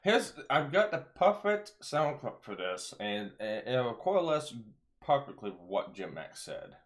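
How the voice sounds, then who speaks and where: A man hosts a casual live stream in a voice of ordinary height. He speaks loosely and hesitantly, filling pauses with "uh" as he relays viewer comments. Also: medium pitch, very animated. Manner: hesitant, with fillers. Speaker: show host.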